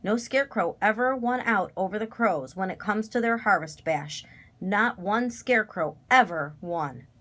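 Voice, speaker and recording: A woman's voice: medium pitch, moderate pace, a bit bright, lightly acted. A woman voicing a resigned, folksy scarecrow father in a children's storybook, speaking dolefully to his child. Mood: resigned, sad.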